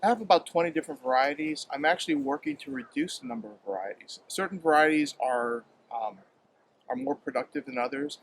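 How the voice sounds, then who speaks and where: A home fruit grower from Hawaii talks in a halting, casual way, restarting phrases and filling gaps with um. His pitch sits in the middle for a man. He is recorded outdoors in a yard with a faint hiss of background noise. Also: creaky, low-quality recording. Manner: halting, repeats words and fills with um. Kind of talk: casual interview.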